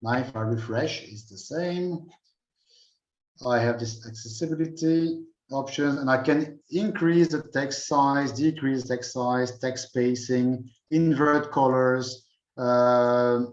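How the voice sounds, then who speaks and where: Man from Belgium giving a software demo. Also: mid-range voice, steady tone, reverberant, dull audio. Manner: unhurried, thinking aloud with many fillers. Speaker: presenter at a user group meeting. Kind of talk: screen-share software demo.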